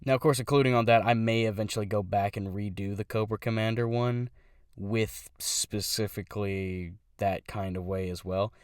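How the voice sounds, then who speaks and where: A man's voice: lowish voice, steady tone, moderate pace, clear, resonant tone, no room sound, studio quality. A young man who does voice impressions, talking casually about his channel in a rambling way, stopping to correct himself.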